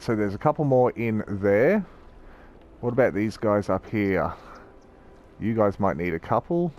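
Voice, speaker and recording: A young man, croaky from a cold, giving casual rambling commentary as he plays a city-building game. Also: on the low side, darkish tone, a little hiss or hum. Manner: chatty, rambling, self-correcting. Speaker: gaming YouTuber.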